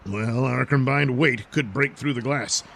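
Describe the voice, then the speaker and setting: Casting: man to play a self-important, bossy costumed hero for superhero radio comedy; gravelly, bombastic delivery. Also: mid-range voice, unhurried, fairly bright tone, slight background noise. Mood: scheming, matter-of-fact.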